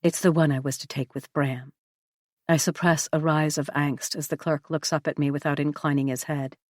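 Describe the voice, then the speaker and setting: A woman narrating a novel in the first person, with a measured, even storytelling delivery. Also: low-pitched, no room sound, clean studio sound. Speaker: audiobook narrator.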